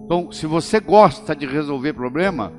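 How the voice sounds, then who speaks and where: An older Brazilian man delivers a motivational talk, stressing key phrases by slowing down on them. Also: fairly high pitch, expressive, a little mellow. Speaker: motivational speaker. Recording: long hall reverb with faint music. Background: faint background music.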